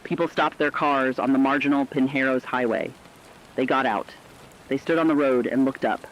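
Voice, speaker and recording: A male actor as a solemn radio correspondent speaks in a mid-pitched voice with a slightly dull, lo-fi sound. He tells scripted disaster stories in a personal, reflective way, as in a radio drama. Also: steady tone, normal speed, slight background noise, close to an everyday voice. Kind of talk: scripted narrative radio storytelling.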